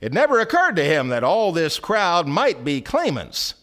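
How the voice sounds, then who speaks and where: A man performing as a wry storyteller, narrating in deliberate theatrical phrases for a live radio play. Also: a little high, huge pitch swings, a bit bright. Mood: wry storytelling. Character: wry storyteller narrating a small-town satire. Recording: live stage radio recording with music.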